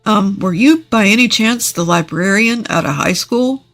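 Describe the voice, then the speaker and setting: A woman's voice: medium pitch, moderate pace, fairly bright tone, faint music behind, lightly acted. A woman voicing a shy, nerdy man of about thirty, hesitant and polite. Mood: shy, surprised.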